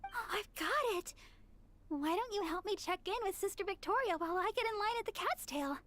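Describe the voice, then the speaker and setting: Very high, sweet girlish church idol. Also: animated, a little fast. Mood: earnest, helpful. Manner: courteous, earnest, gently bubbly delivery. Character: sweet, eager, polite young female church idol. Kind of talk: anime video game dialogue. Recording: game music behind the voice. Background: game music.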